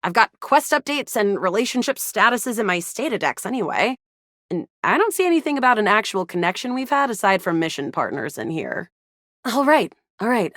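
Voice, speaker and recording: A woman's voice: medium pitch, a bit bright, no room sound, clean studio sound. A woman voicing a feisty, sardonic but loyal sci-fi gamer heroine in a video game, casual and slangy. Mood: confident, wry, then exasperated, casual. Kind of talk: video game character acting.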